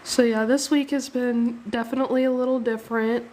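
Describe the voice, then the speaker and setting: A woman's voice: on the high side, steady tone, fairly quick, a little mellow, slight background noise, low-quality recording. A young woman hosting a live art stream, chatting casually, thanking viewers and laughing easily, a little sniffly from a cold. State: slightly sniffly. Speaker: art livestream host. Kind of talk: casual live drawing chat.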